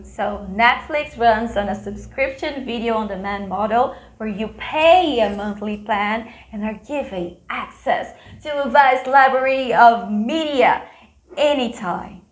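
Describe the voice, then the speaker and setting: A woman's voice: a little high, expressive, a little slow, echoey room. A woman from Brazil with a Brazilian accent, giving a scripted business lesson with playful, chatty asides to the viewer. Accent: Brazilian Portuguese. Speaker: business educator.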